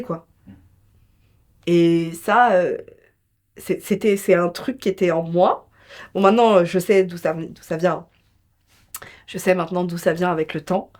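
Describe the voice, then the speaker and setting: Woman telling her story, medium pitch. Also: darkish tone. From France. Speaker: interview guest, entrepreneur and business mentor. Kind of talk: conversational interview, personal storytelling.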